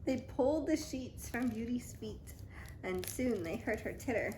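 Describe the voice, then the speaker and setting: Slow, dramatic woman reading a storybook. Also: fairly high pitch, lively intonation, dark tone, slight background noise, rough recording. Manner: dramatic, child-directed. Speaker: mother reading a picture book aloud. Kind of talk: expressive storytime read-aloud for a toddler.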